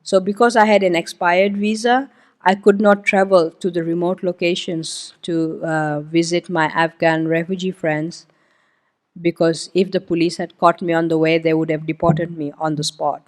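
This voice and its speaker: A woman's voice: lowish voice, steady tone, moderate pace. A woman whose mother tongue is Hindi gives a missionary testimony at a church service. Her talk is loose and conversational, with plenty of "um"s and "uh"s. She stops now and then to point things out on a map for the congregation. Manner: informal, explains while pointing at a map, frequent "um" and "uh". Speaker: missionary guest speaker.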